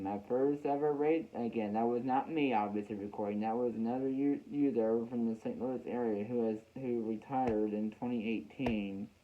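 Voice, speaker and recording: A man talking casually and reminiscing to viewers as a hobbyist host, in a halting way with repeated phrases. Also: mid-range voice. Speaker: weather radio hobbyist hosting a video. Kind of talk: casual, reminiscing vlog talk. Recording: dull, lo-fi home recording.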